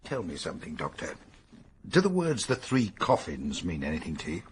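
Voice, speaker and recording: An actor playing a matter-of-fact investigator in a radio mystery, conversational and probing. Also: male voice, low voice, wildly swooping pitch, normal speed, slight background noise. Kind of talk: radio mystery drama acting.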